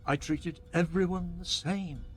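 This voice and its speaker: A man's voice: sits high, fairly even intonation, unhurried, soft background music. A man playing an earnest, placating department manager in a radio play, justifying himself. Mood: defensive, earnest. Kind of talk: radio play monologue acting.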